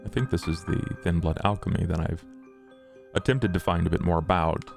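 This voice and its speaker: Very deep, gravelly man. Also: a little fast, over music. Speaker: player in a tabletop roleplay podcast. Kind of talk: improvised in-character roleplay and narration.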